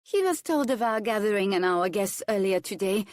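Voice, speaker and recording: A woman's voice: mid-range voice, expressive, normal speed, dry recording, clean studio sound, close to an everyday voice. A woman voicing a haughty, tipsy viscountess with a sweet, sneering manner. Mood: sweetly spiteful.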